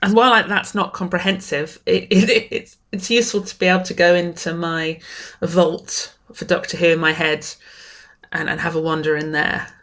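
Lower-pitched woman, eager, restarting often. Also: fairly even intonation, moderate pace, fairly bright tone. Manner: enthusiastic, many restarts and repeated words. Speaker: writer and guest. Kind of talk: conversational interview.

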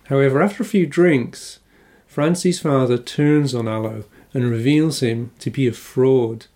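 An older man reads his own reflective essay in a steady, measured way. His accent is English, with a faint trace of an Irish R. His voice sits at an ordinary middle pitch for a man. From Wales. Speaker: novelist. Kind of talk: scripted essay reading.

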